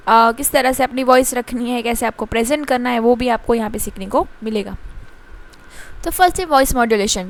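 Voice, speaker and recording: A woman teaching a commerce class in Hindi, reading definitions slowly and pausing often with fillers. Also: high-pitched. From India. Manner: explains in Hindi, reads definitions slowly in English, frequent fillers and ठीक है. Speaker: commerce lecturer. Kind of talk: classroom lecture.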